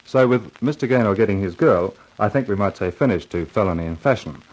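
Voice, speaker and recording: An actor playing a casual, chummy crime author hosting a 1950s radio mystery. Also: male voice, lowish voice, lively intonation, a little fast, lightly acted, poor audio. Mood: relaxed. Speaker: storytelling host signing off a mystery show. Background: music under the voice.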